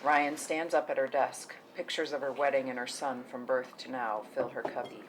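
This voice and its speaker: Really low voiced woman reading screenplay action lines at a table read, faint noise behind her. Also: fairly even intonation, moderate pace, poor audio. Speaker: narrator reading stage directions. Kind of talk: scripted narration at a table read.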